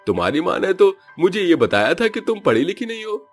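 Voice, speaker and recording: A man's voice: sits high, big pitch swings, fairly quick, soft background music, voice pushed up, full character voice. A man voicing a scornful young village husband who mocks his wife in disbelief. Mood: mocking. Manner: incredulous, mocking.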